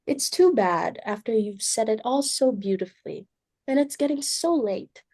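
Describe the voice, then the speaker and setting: A fussy, imperious society hostess, acted by a woman in a stage play reading. Her voice sits high with a soft, dark tone. She is exclamatory and dramatic, a domineering mother who is easily flustered. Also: animated, normal speed, husky. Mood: brisk, disapproving.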